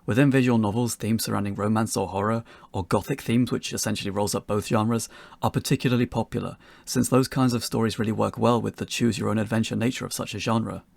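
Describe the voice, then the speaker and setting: A man's voice: fairly low pitch, steady tone, quick pace, vocal fry, darkish tone, dry recording. A man co-presenting a scripted, pre-recorded instructional talk as an amateur developer.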